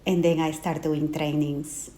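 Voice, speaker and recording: A middle-aged woman with a Peruvian Spanish accent speaks English as a second language, slowly and carefully. She is a guest on a casual podcast and her voice sits on the low side. Faint noise hums behind her. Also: level tone, dark tone. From Peru. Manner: unhurried, searching for English words. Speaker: guest life coach. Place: indoors on a trip in Utah mountains.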